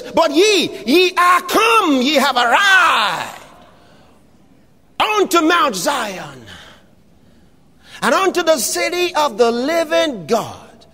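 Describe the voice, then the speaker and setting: A man preaching an impassioned sermon, shouting scripture with long drawn-out phrases. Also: extremely high pitch, big-room sound.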